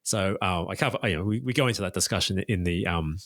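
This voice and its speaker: Man, tour guide on a podcast, fairly low voice, dry close sound, informative and keen. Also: fast talker, fairly bright tone, dry recording. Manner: detailed, informative, enthusiastic recommendations. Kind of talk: casual interview chat.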